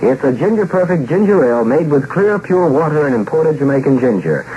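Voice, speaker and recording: Lively American radio announcer, dull old recording. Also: male voice, on the high side, fairly quick, a little hiss or hum. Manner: polished sales delivery. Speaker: station announcer. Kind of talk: scripted 1960s radio advertisement.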